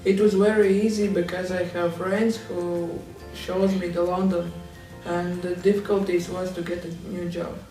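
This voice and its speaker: A man's voice: high voice, level tone, normal speed, dark tone. A man from Latvia, giving short, plain answers. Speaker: interviewee. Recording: echoey room, distant mic, faint music.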